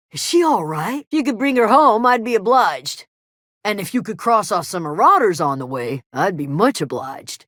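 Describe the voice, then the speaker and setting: A gruff, low-voiced frontier camp guard. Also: female voice, no echo, clean studio sound. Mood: reserved gratitude. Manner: terse, wary, plain-spoken with folksy drawn phrasing. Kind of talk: video game character dialogue.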